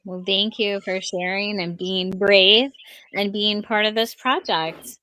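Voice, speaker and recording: A woman's voice: medium pitch, steady tone, bright, forceful tone, no room sound, low-quality recording. A woman hosting a live book-launch stream over a video call, introducing guests with enthusiasm. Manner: enthusiastic, quick when handing over to guests. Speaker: host of a book launch livestream.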